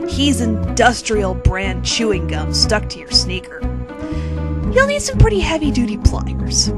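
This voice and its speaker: A woman reading a comic second-person story theatrically, with sassy, bossy asides to the listener. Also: on the high side. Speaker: narrator reading own humorous fan fiction. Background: quirky music bed.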